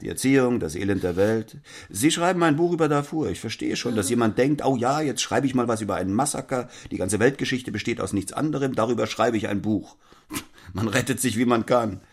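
A man's voice: mid-range voice, expressive, a little fast, husky. An actor playing a detached, self-important businessman husband in an audio drama, dry and condescending. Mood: condescending, philosophising. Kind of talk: theatrical audio play acting.

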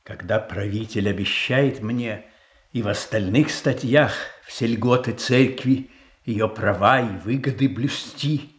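An actor playing a grave church prelate in a radio tragedy, with ceremonial, drawn-out delivery. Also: male voice, on the low side, roomy sound. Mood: solemn. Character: solemn male church prelate, grave and conditional. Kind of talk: Russian stage tragedy as radio play.

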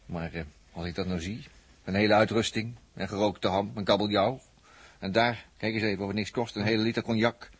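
A man acting a gruff but moved crewmate in a 1960s Dutch radio play, speaking in halting, wistful phrases. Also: lowish voice, fairly even intonation, no echo. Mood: wistful, impressed.

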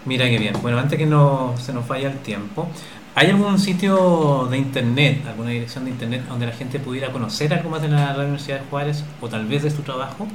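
A man's voice: mid-range voice, animated, brisk. A man hosting a conversational interview, putting questions to his guests. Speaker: interviewer, host. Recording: roomy echo with faint noise.

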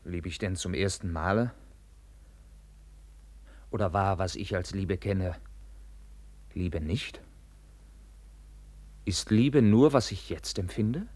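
An actor playing a proud, ardent and touchy young knight in a 1950s German radio drama, with impassioned, theatrical, clipped delivery. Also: male voice, low voice, slow, pauses often, a little mellow. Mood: brooding. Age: young adult.

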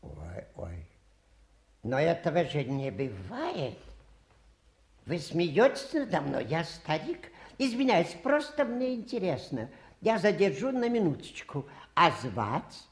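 A man's voice: a little high, very animated, slow, full character voice. A man acting in a radio play as an old travelling fakir, theatrical and self-pitying, with wheedling, showy patter full of sighs. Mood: humble, amazed. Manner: plaintive, showy patter with sighs and grand pronouncements.

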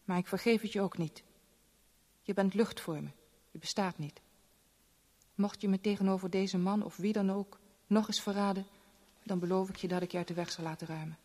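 An actress playing a proud, bitter young rich woman, speaking in a measured, cold, solemn way in a 1970s radio play. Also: mid-range voice, fairly even intonation, a little mellow, lightly acted. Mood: bitter, threatening. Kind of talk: 1970s Dutch radio play letter monologue. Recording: long reverb on old radio drama.

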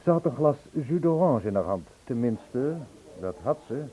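A man's voice: mid-range voice, big pitch swings, normal speed, warm tone, husky. An actor playing a charming, impulsive man who courteously tells of his romance in a Dutch radio play. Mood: reminiscing. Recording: tinny, band-limited old radio recording.

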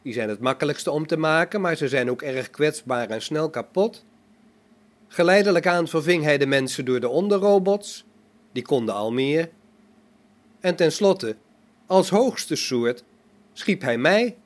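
A robot prophet, acted by a man for a science fiction radio play, with a slightly high voice. It reasons calmly and solemnly, utterly sure of itself and its faith. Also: a little slow. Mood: calm, self-assured. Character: self-assured male robot prophet, calm, devout and logical.

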